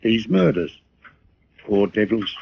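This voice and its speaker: An actor playing a mad, murderous barkeeper with a folksy, bitter manner and a husky voice in 1940s radio drama style. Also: male voice, on the low side, big pitch swings, slow, slight background noise, full character voice. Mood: sly, confiding. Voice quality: husky, gravelly. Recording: dull old radio recording.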